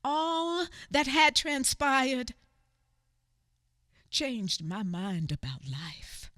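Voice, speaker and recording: An actress in a radio theater play, voicing a grown woman who recalls a hard childhood with quiet resolve. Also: sits high, extremely slow, no echo, studio quality, full character voice. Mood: resolute.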